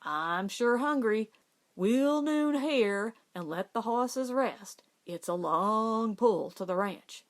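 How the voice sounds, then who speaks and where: A woman voicing a hearty, teasing older cowboy boss in an audiobook, with loud, drawling, folksy Western speech. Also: a little high, lively intonation, slow pace. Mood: easygoing. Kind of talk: audiobook character voice.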